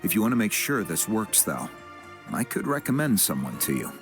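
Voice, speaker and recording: A calm game tribesman with a very low voice. He explains things in a measured, reassuring manner over soft background music. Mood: calm, helpful. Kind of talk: English video game voice acting.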